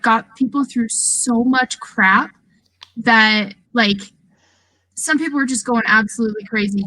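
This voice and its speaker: A woman with a slightly high, bright voice talks casually as a podcast panelist. The sound is very dry, with no room on it. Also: no room sound, poor audio. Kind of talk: casual group chat about video games.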